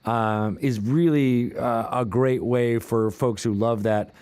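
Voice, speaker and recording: A man's voice: mid-range voice, fairly even intonation, slow, darkish tone, clean tone, no echo. Upbeat male podcast host, dry mic. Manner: friendly, enthusiastic, restarts sentences. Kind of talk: casual interview chat.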